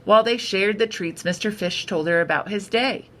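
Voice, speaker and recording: A woman reading a picture book aloud for children in an expressive, unhurried storytelling manner. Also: medium pitch. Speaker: storytime reader. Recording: noticeable background noise.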